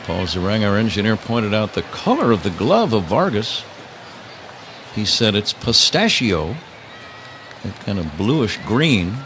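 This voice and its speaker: A man calling baseball play-by-play live on the radio, slipping wry, casual banter between pitch calls. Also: big pitch swings, unhurried, fairly bright tone. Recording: clear ballpark noise behind. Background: outdoor stadium ambience.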